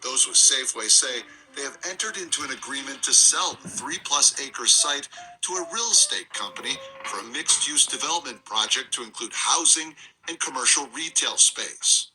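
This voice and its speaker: A man's voice: medium pitch, lively intonation, moderate pace, pushed and bright, faint music behind, poor audio. A man delivering scripted news narration as a reporter.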